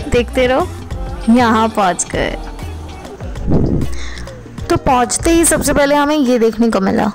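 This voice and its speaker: A young woman narrating a travel vlog in a casual, chatty way, often addressing viewers directly. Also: a little high. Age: young adult. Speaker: vlogger. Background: background music.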